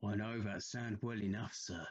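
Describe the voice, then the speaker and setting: A man's voice: lowish voice, steady tone, moderate pace, husky, muffled recording. An actor playing a haunted, troubled railway signalman in a period audio drama, telling his tale with grave urgency.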